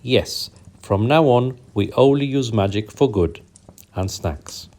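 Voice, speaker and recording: A man's voice: medium pitch, takes it slowly, a little mellow, lightly acted. A man voicing a pompous, sleepy male cat magician in a children's bedtime story, with a theatrical, grandiose manner. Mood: solemn.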